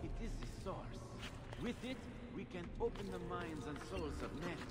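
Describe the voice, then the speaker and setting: High-voiced zealous cult leader. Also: male voice, animated, moderate pace, very noisy. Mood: fervent. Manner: lofty, preaching oratory. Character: zealous male cult leader, grandiose visionary villain. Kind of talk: video game cutscene acting.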